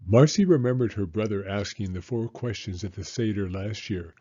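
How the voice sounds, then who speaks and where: A man narrating a children's storybook aloud in an animated read-aloud style. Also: on the low side, normal speed, dull audio.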